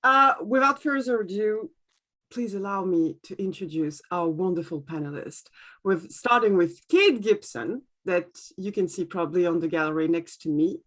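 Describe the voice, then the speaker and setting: A woman's voice: fairly low pitch, fairly bright tone. French-accented woman moderating a panel. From France. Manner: polite, slightly halting. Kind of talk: formal introductions. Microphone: video call.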